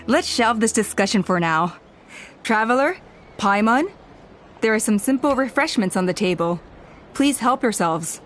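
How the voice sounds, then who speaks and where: A woman playing a composed, hospitable elderly tribe elder in a video game, measured and courteous. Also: fairly high pitch, big pitch swings, a bit bright, faint music behind. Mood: gracious.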